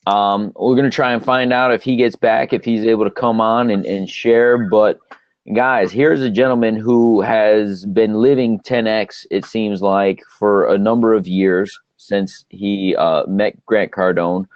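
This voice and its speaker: A man's voice: fairly low pitch, fairly even intonation, unhurried, dry recording, lo-fi sound. A man hosting a live stream chat, enthusiastic and encouraging, with frequent restarts. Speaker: host of a live stream show.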